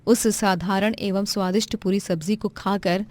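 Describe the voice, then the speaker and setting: A woman's voice: mid-range voice, fairly even intonation, moderate pace, a little mellow. A woman storyteller reading scripted narration for a moral tale.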